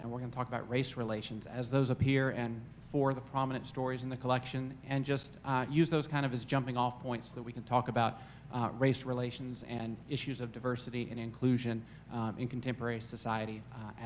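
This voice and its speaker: A man's voice: medium pitch, a little hiss or hum. A man, a college dean, moderating a panel discussion, hesitant, with many "uh"s and repeated words. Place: campus event room.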